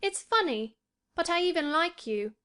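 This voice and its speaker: A woman performs a frail young boy from a storybook. His voice is pitched high, well above an adult's, with a plaintive, highly-strung eagerness. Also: animated, normal speed, fairly bright tone. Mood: wistful. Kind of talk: acted storybook dialogue.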